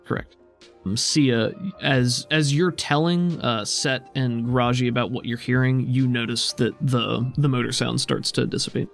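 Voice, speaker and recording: A man's voice: mid-range voice, fairly even intonation, a little slow, vocal fry. Man, game master, improvised descriptive narration, tabletop roleplay, background music.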